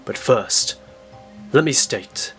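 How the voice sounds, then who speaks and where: A man voicing a calm, menacing scientist mentor turned villain, speaking in a measured, hushed way in an audio drama. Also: medium pitch, gravelly, soft background music, pitched below the performer's own voice, heavily acted. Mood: calm menace.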